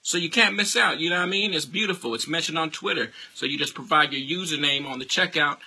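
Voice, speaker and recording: Man, American accent, husky, very bright and forceful, emphatic slangy radio promoter. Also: fairly high pitch, quick pace, low-quality recording. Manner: emphatic, street-slang hype. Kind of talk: informal promotional pitch to camera.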